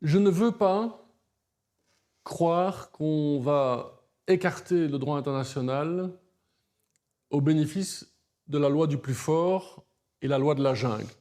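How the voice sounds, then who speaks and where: A man's voice: on the high side, takes it slowly, long pauses, dark tone. A middle-aged man giving articulate, emphatic interview answers as a human rights advocate. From Belgium. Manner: articulate, emphatic, builds argument in lists.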